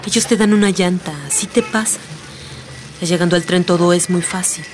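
Low-voiced, practical Mexican schoolteacher played by an actress. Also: female voice, steady tone, a little fast, darkish tone, close to an everyday voice. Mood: reassuring, practical. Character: streetwise, protective older sister, a Mexican schoolteacher. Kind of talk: radio play acting. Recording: music bed behind the voice.

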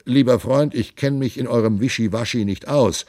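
A man's voice: medium pitch, normal speed, darkish tone, no room sound, studio quality, voice pushed up, close to an everyday voice. A man voicing a noble, hearty giant prince in an audiobook reading, with stately, emphatic old-fashioned speech. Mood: hearty, commanding.

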